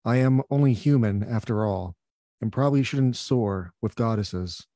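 A man at an open mic reading his poems aloud in a measured, slow manner over a video call. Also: medium pitch, warm tone, dry recording, clean studio sound.

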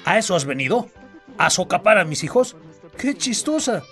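A man's voice: high-pitched, very animated, normal speed, a bit bright. An actor with a Bolivian accent playing a hungover, bullying father in a radio drama, grumbling and giving orders. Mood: irritated, scolding. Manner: grumbling, commanding. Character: gruff, hungover, bullying father, quick to scold. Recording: radio drama with music underneath.

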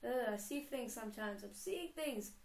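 A woman's voice: fairly high pitch, lively intonation, normal speed, darkish tone, reverberant, a little hiss or hum. A young woman casually commenting on her own game, rambling and giggling as she goes. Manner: chatty, rambling, giggly self-talk. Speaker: gamer. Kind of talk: casual let's play commentary.

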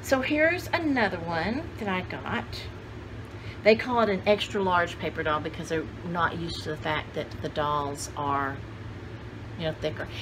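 A woman's voice: lowish voice, measured pace. A woman in her sixties chatting casually to camera, rambling and thinking aloud as she shows things to viewers. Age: 60s. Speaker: vlogger showing vintage paper dolls. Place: indoor room at home with cluttered shelves. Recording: clearly noisy home video sound.

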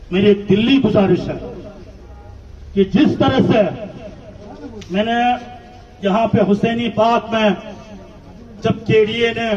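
A man addresses an outdoor protest rally in a very high, raised pitch. His delivery is slow and declamatory, breaking into short emphatic phrases. The sound is muffled, as if picked up at a distance. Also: darkish tone. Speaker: community representative, rally speaker. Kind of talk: impassioned political speech at a protest rally. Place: outdoors in a town street at a rally. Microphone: handheld microphone.

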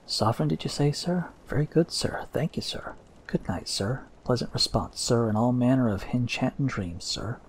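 A man's voice: medium pitch, lively intonation, normal speed, a little hiss or hum, voice pushed up, lightly acted. A man voicing a nervous, servile male ship's steward who talks in a common dialect, drops his h's and calls everyone sir. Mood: delighted.

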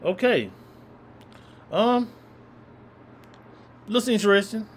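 A man's voice: high voice, slow pace, a little hiss or hum. Laid-back young man chatting into a webcam. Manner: relaxed, rambling, uses slang. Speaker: trailer reaction host. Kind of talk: casual chat. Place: small room indoors.

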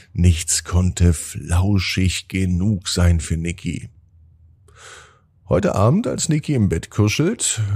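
A man's voice: deep voice, a little mellow, breathy edge. A man narrating a children's bedtime story in a soothing manner, drawing out words for emphasis.

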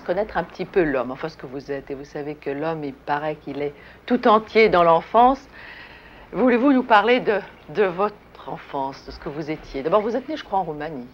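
A woman interviewer asking questions in a polite, formal yet conversational style. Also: low voice. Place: furnished sitting-room set indoors. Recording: muffled, noisy old archival broadcast.

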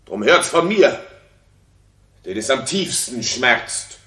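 An actor playing a jealous, bitterly sarcastic king with deliberate delivery in a 1960s German radio drama. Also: mid-range voice, very animated, sharp tone, reverberant, exaggerated voice. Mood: bitter, accusing. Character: jealous tyrant king accusing his wife.

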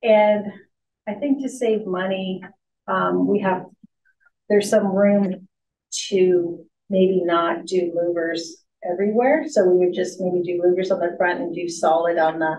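A woman's voice: on the low side, steady tone, measured pace, a little mellow. Woman reporting at a meeting, echoey and far. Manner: plain, matter-of-fact, many ums and restarts. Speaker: staff member presenting to a board. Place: large meeting room. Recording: echoey, distant, dull, faint hiss.

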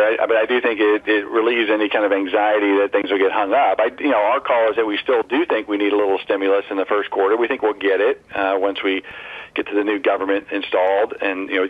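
Husky man on a muffled phone line. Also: on the low side, fairly even intonation, fast talker. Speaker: equity strategist interviewed as guest. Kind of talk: live interview answers. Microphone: telephone.

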